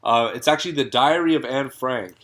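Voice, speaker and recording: A man's voice: medium pitch, brisk, a bit bright. A young man co-hosting a casual podcast, sounding congested and nasal from a cold, with dry, sarcastic remarks. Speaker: podcast co-host. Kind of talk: casual chat.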